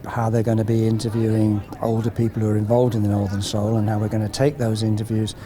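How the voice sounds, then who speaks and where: A man's voice: fairly low pitch, level tone, fast talker, warm tone. A man speaking as a project facilitator in a documentary interview, earnest and explanatory.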